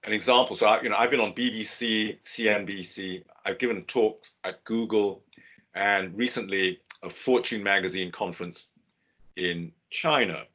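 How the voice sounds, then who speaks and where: Older guy, professor type, low husky voice, lecturing over a muffled video call mic. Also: fairly even intonation, pushed and bright. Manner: measured, explanatory, with fillers and restarts. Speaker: business school professor giving a webinar. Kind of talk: academic webinar lecture. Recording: dull lo-fi webinar audio.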